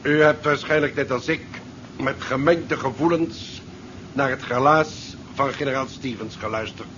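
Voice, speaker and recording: Actor as a formal naval commander, muffled old recording. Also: male voice, mid-range voice, fairly bright tone, natural voice. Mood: formal, commanding. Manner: formal, measured orders. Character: formal, dutiful male naval commander of a recovery ship. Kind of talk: 1970s Dutch radio drama acting. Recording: dull, hissy 1970s radio play recording.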